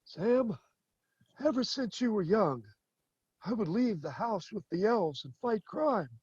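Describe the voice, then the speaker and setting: A man playing an old, half-deaf grandfather shoemaker who talks in a slow, wandering way. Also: high voice, expressive, a little mellow, breathy edge, no echo, lo-fi sound, full character voice. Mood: gentle. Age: older. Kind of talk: stage play acting.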